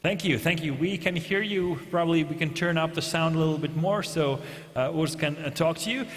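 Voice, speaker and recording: A man's voice: fairly high pitch, fairly quick. A man hosting an event, making formal stage announcements and stretching out names and welcomes as he calls up speakers. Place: conference hall. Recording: hall reverb with faint noise.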